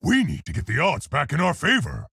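A man voicing a large, earnest, polite and brave gorilla scientist for a video game, gruff and articulate in his delivery. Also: a little high, wildly swooping pitch, fairly quick, sharp tone, no echo, clean studio sound, heavily acted. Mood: earnest, heroic. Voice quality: gruff, husky, gravelly. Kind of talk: video game character voice lines.

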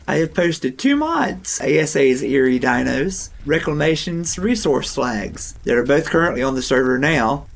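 A man talks through his survival game session in a loose, rambling way. His voice is hoarse and gravelly from a recent bout of strep throat, sitting at a middling pitch. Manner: chatty, rambling, laughs now and then. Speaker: gaming channel host. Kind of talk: casual gameplay commentary.

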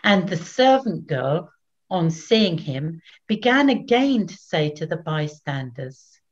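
Older woman narrator, unhurried solemn scripture reading, dull home webcam sound. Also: fairly low pitch, very animated. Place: small plain room at home.

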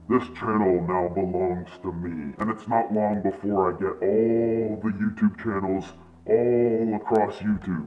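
A man playing a vengeful movie-killer villain who gloats and broods in deliberate, menacing proclamations in a staged horror vlog. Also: low voice, darkish tone. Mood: gloating, menacing. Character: masked movie killer villain gloating over his captive. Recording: dull lo-fi sound.

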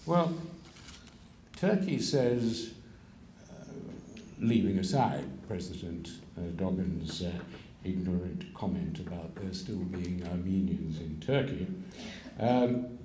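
An older man giving a keynote lecture from a lectern, measured and pausing, with wry asides. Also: low voice, lively intonation, mellow, a little hiss or hum. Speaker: keynote speaker. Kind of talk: public lecture. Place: stage of a large hall. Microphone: stand mic at a lectern. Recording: echoey hall sound.

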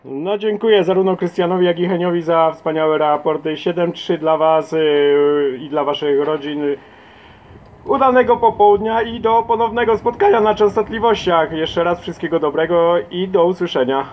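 A man's voice: a little high, moderate pace, lo-fi sound. A man from southern Poland chatting casually as a radio operator during a CB contact.

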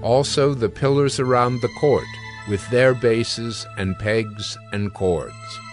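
A man reads scripture as the narrator of an audio drama, with a mid-pitched voice. His delivery is measured and formal, and music plays behind him. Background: background music.

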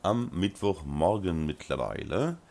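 A man with a Rhine-Hessian German accent, hoarse from a sore throat and blocked nose, casually commenting on his farming game and mumbling with many ums. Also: deep voice, expressive, unhurried, a little mellow. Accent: Rhine-Hessian German dialect. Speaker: let's play host.

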